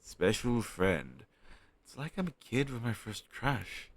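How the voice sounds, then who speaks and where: A man's voice: medium pitch, very animated, exaggerated voice. A man playing a flustered young man shyly hiding a crush on his best friend, speaking hesitantly and sheepishly in an intimate audio roleplay. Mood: embarrassed, exasperated. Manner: hesitant, sheepish, halting conversational delivery. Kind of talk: intimate one-sided conversational audio roleplay.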